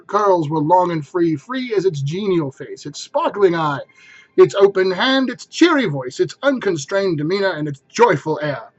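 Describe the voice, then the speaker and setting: A man's voice: on the high side, dull audio. A man reading a classic story aloud as narrator, in a theatrical style with emphatic phrasing.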